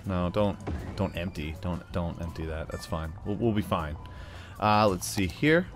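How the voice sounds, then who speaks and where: Low-voiced American man, playful gaming commentary. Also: measured pace. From United States. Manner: playful, often slips into put-on drawls; rambling, thinks aloud while working. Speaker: gaming video host. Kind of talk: casual gameplay commentary. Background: background music.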